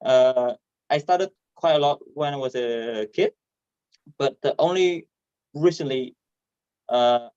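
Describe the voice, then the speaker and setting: A man from Vietnam with a Vietnamese accent and a stutter, performing stand-up comedy haltingly over a video call. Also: mid-range voice, a little mellow, clean tone, no room sound. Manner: hesitant, halting delivery with repeated words. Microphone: video call microphone. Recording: dull, muffled online call audio.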